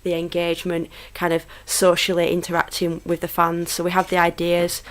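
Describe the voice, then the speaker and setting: A woman's voice: lowish voice, monotone, moderate pace. A woman in her thirties from Lancashire with a Lancashire accent, a friendly, upbeat interview guest who talks with plenty of fillers. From Lancashire, England. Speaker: guest, football club PR manager. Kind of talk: casual interview chat. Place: small home studio.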